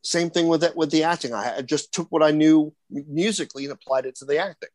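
Man, slightly high voice, rambling with lots of fillers, dry close sound. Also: quick pace, darkish tone, no room sound. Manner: rambling, many fillers and restarts. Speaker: haunted attraction actor. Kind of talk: casual panel chat.

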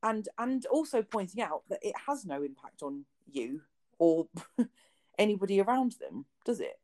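A woman's voice: medium pitch, sing-song, moderate pace, dark tone. A woman based in Kent, co-hosting a casual podcast chat, friendly and laughing often as she asks questions. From England (Kent). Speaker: podcast co-host.

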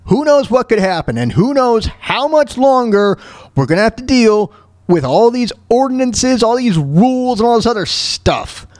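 A man's voice: very high-pitched, very animated, normal speed, a little mellow, dry recording, clean studio sound. A man hosting a talk radio show, commenting casually in a rambling, exclamatory way and repeating his phrases.

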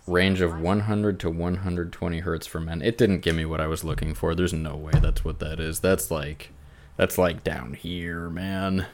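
Very deep-voiced man in casual chat. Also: fairly even intonation. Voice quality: bassy voice. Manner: halting, with many restarts and fillers. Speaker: podcast co-host.

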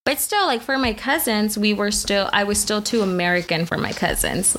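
A woman's voice: medium pitch, moderate pace, a bit bright, slight background noise. A young woman co-hosting a podcast, born in Mexico and raised in South Texas, talking casually with many fillers and restarts. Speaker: podcast co-host. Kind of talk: casual chat.

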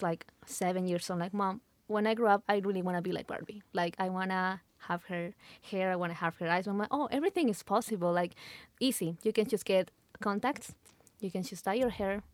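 A woman's voice: mid-range voice, a little fast, no echo. Peruvian woman guest, dry close sound. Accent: Peruvian Spanish. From Peru. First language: Spanish. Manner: searches for English words, switches into Spanish. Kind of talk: casual chat.

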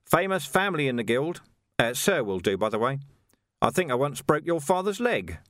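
A man's voice: medium pitch, very animated, normal speed, fairly bright tone, dry recording, voice pushed up. A man reading an audiobook, voicing a dry, wry middle-aged police commander.

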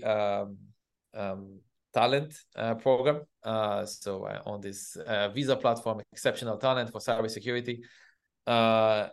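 A man from Israel with an Israeli accent, explaining things as an interview guest in halting, hesitant phrases. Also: mid-range voice, gravelly, fairly bright tone. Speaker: guest, cybersecurity company CEO.

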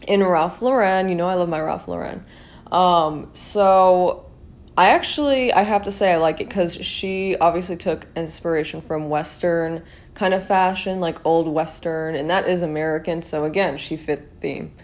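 A woman's voice: fairly low pitch, moderate pace. A young woman hosting a podcast, chatting casually about fashion with a congested, nasal voice and lots of fillers. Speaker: podcast host.

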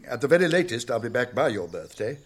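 Husky old British wizard, stern. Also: male voice, medium pitch, sing-song. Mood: grave, urgent. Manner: authoritative, deliberate, brisk questioning. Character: old wizard, stern, shrewd, commanding yet kindly. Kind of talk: British radio drama acting.

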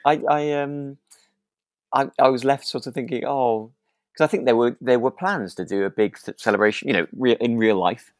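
A man co-hosting a relaxed discussion podcast, thoughtful and hesitant, often reworking his phrases. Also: medium pitch, moderate pace, no room sound, clean studio sound. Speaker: podcast co-host.

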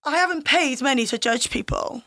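A woman's voice: high-pitched, sharp tone, dry recording, clean studio sound. Chatty woman hosting a podcast. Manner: chatty, laughs often, quick agreeing fillers. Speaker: podcast host, dance class leader. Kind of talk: casual chat.

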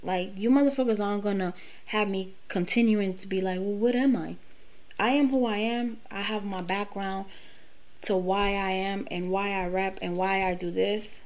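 A woman's voice: medium pitch, steady tone, normal speed, darkish tone. A woman in her late thirties, talking candidly and emphatically with plenty of profanity in a personal podcast monologue. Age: late 30s. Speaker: podcast host.

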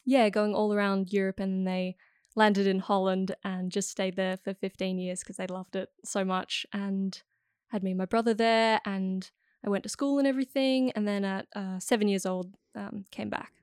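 A woman's voice: medium pitch, steady tone, moderate pace, a little mellow, clear, resonant tone, no echo, clean studio sound. A young woman in her early twenties, a musician guest in a casual interview, friendly and giggly with lots of fillers. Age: early 20s.

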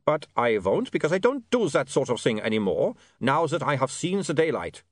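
A man's voice: fairly high pitch, big pitch swings, normal speed, dry recording, studio quality, voice pushed up. A man voicing an amicable, earnestly polite reformed male vampire for an audiobook. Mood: protesting innocence. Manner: earnest, formally polite, slightly insistent. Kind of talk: audiobook character voice.

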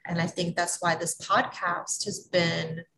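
A woman with a low, gravelly voice hosts a podcast, speaking in a gentle and reflective way. She stretches out her phrases as she thinks aloud. A steady hiss of noise sits under the recording. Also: a little slow. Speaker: podcast host. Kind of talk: warm conversational interview. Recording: noisy room recording.

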